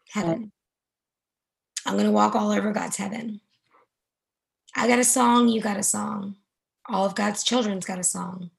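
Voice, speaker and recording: A woman's voice: medium pitch, creaky. A woman singing a hopeful spiritual in a staged reading, in a rhythmic sung manner.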